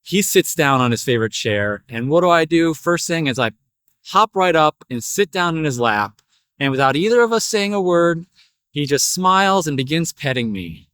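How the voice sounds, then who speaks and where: A man's voice: on the high side, expressive, normal speed, fairly bright tone, smooth, no echo. A haughty female cat from a storybook acted by a man talks with smug understatement. She is condescending and sure of herself, and the sound is dry and close. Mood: smug, contented. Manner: condescending, understated, self-assured.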